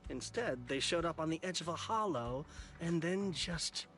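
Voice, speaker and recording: A high, animated, shifty young-sounding thief. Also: male voice, normal speed, faint music behind. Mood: suspicious. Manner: flustered, protesting, slangy. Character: defensive, shifty male thief who steals military mechs. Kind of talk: video game story voice acting.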